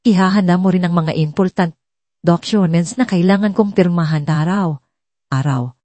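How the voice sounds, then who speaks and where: A woman narrator plays a rich Filipino male boss in a story drama. He is bossy and brusque, giving orders in a mellow, lowish voice with a very dry sound. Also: normal speed, dry recording, close to an everyday voice. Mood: businesslike, commanding. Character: curt, commanding male billionaire company boss.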